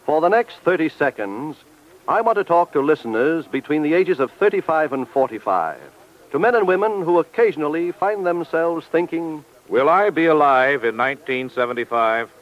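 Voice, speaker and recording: A man's voice: a little high, lively intonation, normal speed, faint music behind. A man working as a 1940s radio announcer, reading an advertisement formally and directly to the listener. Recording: muffled 1940s radio recording.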